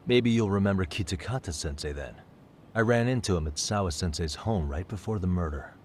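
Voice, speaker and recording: An actor playing a composed, persistent private detective, calmly and politely probing in a video game cutscene. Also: male voice, low-pitched, slight background noise. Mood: probing, measured. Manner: calm, measured, politely probing questioning. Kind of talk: English-dubbed video game cutscene acting.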